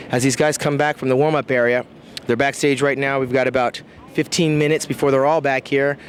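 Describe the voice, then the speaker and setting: Fast-talking male backstage reporter. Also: medium pitch, a little hiss or hum. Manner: energetic, rapid-fire, announcer-like. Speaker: interviewer, event host. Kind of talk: live event reporting and interviewing.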